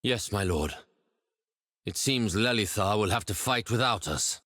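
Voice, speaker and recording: An actor playing a dutiful naval bridge officer in a sci-fi game cutscene, reporting in military fashion. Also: male voice, on the low side, lively intonation, a little slow, background noise. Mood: subdued. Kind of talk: dramatic game cutscene acting.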